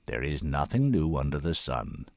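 A man voicing an old senator in an audiobook story, weary, commanding and gravely kind, speaking slowly and deliberately. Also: low-pitched, big pitch swings, dry recording. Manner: slow, deliberate, thundering when roused.

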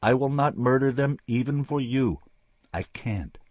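A man's voice: mid-range voice, a little slow, darkish tone, no room sound, clean studio sound, voice pushed up, natural voice. A man voicing a desperate, distraught man who pleads in an agitated, stammering rush. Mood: anguished resolve.

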